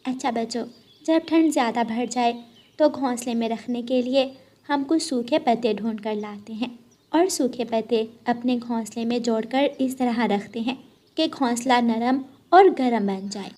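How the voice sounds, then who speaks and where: A woman voicing a gentle, sensible mother bird in a children's moral cartoon, speaking instructively. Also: fairly high pitch, moderate pace, close to an everyday voice.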